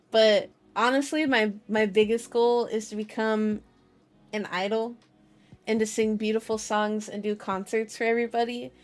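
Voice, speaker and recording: Female VTuber host, highish voice, playful and childlike, casual stream chatter with light music underneath. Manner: playful, childlike phrasing, repeats herself. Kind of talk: casual livestream chat. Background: background music.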